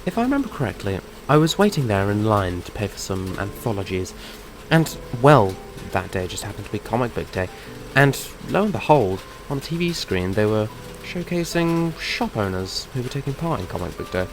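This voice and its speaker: Over clearly noisy ambience, a man plays a brash monster hunter in an audio drama. His lowish voice swings expressively, with a teasing, nerdy swagger. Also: sing-song, normal speed. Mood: storytelling, smug.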